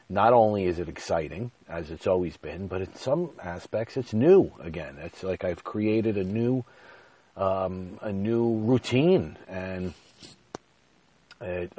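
Deep raspy guy with a stuffed-up nose, rambling through his podcast. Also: mellow, no room sound. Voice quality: nasal. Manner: rambling, earnest, with frequent fillers. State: stuffy nose and scratchy throat. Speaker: podcast host. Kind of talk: solo podcast monologue.

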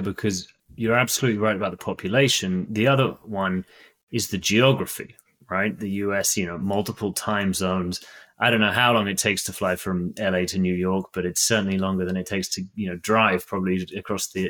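A man's voice: on the low side, a bit bright. A man hosting a business podcast, asking long conversational questions. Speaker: podcast host. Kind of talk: interview questions.

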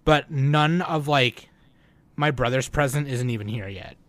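Man, podcast co-host, casual chat, middle pitch, rambling, lots of fillers. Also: unhurried. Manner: rambling, many fillers and restarts.

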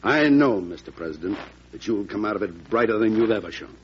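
An actor playing a solemn, loyal defense lawyer in an old-time radio drama, speaking in a formal, measured, oratorical way. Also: male voice, fairly low pitch, animated, natural voice. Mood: earnest, solemn. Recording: muffled, noisy vintage radio recording.

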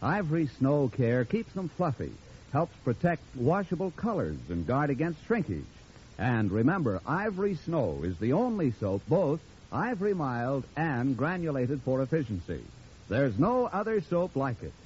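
A man's voice: mid-range voice, sing-song, normal speed, a little mellow, husky. A man reading a 1940s radio advertisement in a polished, reassuring sales manner. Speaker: commercial announcer. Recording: dull vintage radio recording.

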